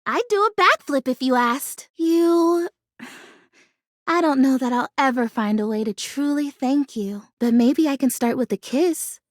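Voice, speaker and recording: A woman voicing a sweet, plucky, excitable talking houseplant in a video game, with earnest, gushing delivery and quaint folksy exclamations. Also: sits high, unhurried, dry recording, studio quality. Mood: excited, overjoyed, then tender, grateful. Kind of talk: video game character acting.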